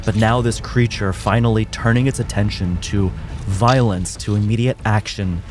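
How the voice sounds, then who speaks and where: A man acting as game master, narrating combat in a measured, descriptive way. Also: on the low side, fairly even intonation. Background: music.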